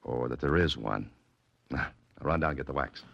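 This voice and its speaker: An actor playing a wry, brisk private detective in 1940s radio drama acting style. He cracks dry jokes and gives clipped orders. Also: a bass voice, creaky, fairly bright tone, slightly rough, no room sound, muffled recording, heavily acted. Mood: scheming.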